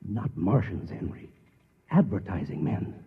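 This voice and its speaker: Vintage radio thriller lead: American, low husky voice, alarmed and determined everyman, muffled reverberant old recording. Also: very animated, unhurried, exaggerated voice. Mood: tense discovery. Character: determined, alarmed ordinary man uncovering a conspiracy. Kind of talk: mid-century American radio drama acting.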